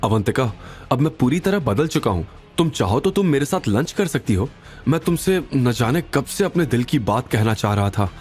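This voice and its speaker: A man's voice: mid-range voice, expressive, moderate pace, darkish tone, close to an everyday voice. An obsessive male stalker in an audio drama, performed by a man. He is entitled and intense in a mid-range voice with wide swings, over faint music. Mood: pleading. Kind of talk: audio drama character dialogue.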